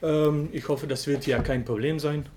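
A man from Flanders with a Flemish accent, giving a speech in a language that is not his own, often pausing to search for words. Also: a little high, steady tone, heavy background noise. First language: Dutch. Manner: halting, pauses to search for words. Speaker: guest speaker. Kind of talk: speech to an audience.

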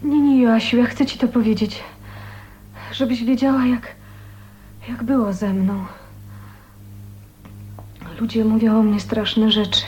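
An actress in a radio drama playing a gentle, dying young woman who confides hesitantly and earnestly. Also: on the high side, fairly even intonation, unhurried, long pauses, faint music behind, natural voice. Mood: earnest, fragile. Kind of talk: 1980s radio drama acting. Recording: faint noise on radio recording.

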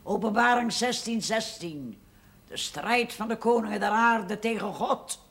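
An actor playing a fanatical doomsday zealot who solemnly declaims Bible prophecy in a television period drama. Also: male voice, sits high, measured pace, fairly bright tone. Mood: fervent, ominous.

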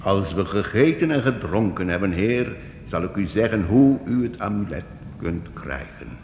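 An actor in a radio play, playing a sly, flattering master of travelling musicians with theatrical salesman's patter. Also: fairly low pitch, moderate pace, lo-fi sound. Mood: wheedling, flattering. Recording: echoey hall, noisy old radio recording.

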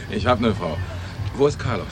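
An actor playing a calm middle-aged police detective, matter-of-fact and probing, in a radio drama. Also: male voice, lowish voice, normal speed, lightly acted. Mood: friendly. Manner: matter-of-fact, inquisitive, composed. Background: music, traffic and street sounds.

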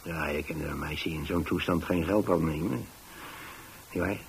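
A man's voice: very deep voice, moderate pace, slight background noise. An older man, a radio actor playing a kindly retired man who tells his story in a hesitant, rambling, conversational way. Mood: wistful. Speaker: radio actor, narrating lead.

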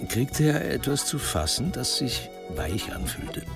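A man with a creaky voice narrating a scripted radio crime drama. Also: medium pitch, sing-song, unhurried, over loud music.

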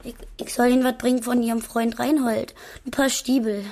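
A woman's voice: fairly high pitch, level tone, a little fast, darkish tone, close to an everyday voice. An actress playing a jilted, sulky young working-class woman in a radio drama, hesitant and stammering. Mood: shy.

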